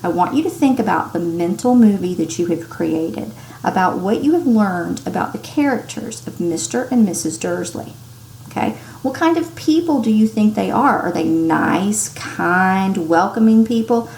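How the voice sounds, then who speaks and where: Lady teacher doing an animated kids read-aloud, echoey room, bit of background noise. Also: mid-range voice, moderate pace, gravelly. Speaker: teacher reading aloud to children. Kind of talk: expressive children's story read-aloud.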